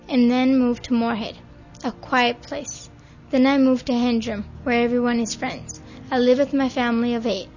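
A woman's voice: a little high, darkish tone. A woman reading a poem aloud in a plain, matter-of-fact way. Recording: slightly dull recording with faint music.

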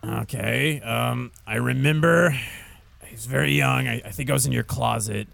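A man playing an exasperated, embarrassed demon son with a gravelly voice, whiny and hesitant, in improvised comedy. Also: medium pitch, normal speed, a bit bright, no room sound. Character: mortified, exasperated young demon son from hell.

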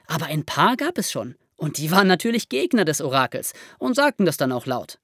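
Female host, low-pitched and animated, explains history conversationally, close dry studio sound. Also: brisk, no room sound. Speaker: podcast host telling history stories. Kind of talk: lively explanatory storytelling in conversation.

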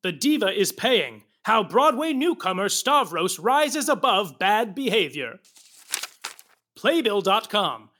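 A performer playing a theatrical, gossipy narrator of a comedy show, using a put-on announcer voice. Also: female voice, medium pitch, big pitch swings, slow, a bit bright, heavily acted. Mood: teasing.

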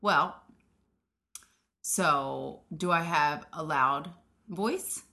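A woman's voice: deep voice, very slow, pushed and bright. A woman guesting on a podcast in casual banter, playful, laughing often and arguing cheekily.

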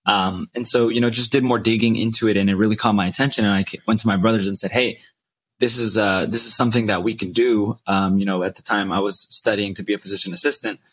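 A man in his twenties, a podcast guest, telling his story in a relaxed way with many fillers. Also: on the low side, fast, breathy edge, low-quality recording. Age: 20s. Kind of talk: casual interview talk.

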